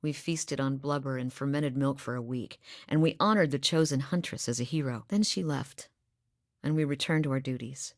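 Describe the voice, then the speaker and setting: An actress voicing a seasoned middle-aged huntress from a frozen northern village, talking in a plain, dry and wry way for a video game. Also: female voice, rumbling low, normal speed, no room sound. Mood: wistful. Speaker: game character voice actor. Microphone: close studio mic, dry.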